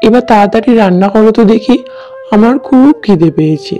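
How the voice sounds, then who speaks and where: A kindly cartoon god in a stranger's guise, played by a woman, with a mellow, lilting voice that moves up and down a lot. The manner is gentle and reassuring, on a dull, lo-fi track with music. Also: medium pitch, expressive, fairly quick, lightly acted. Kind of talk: cartoon voice acting.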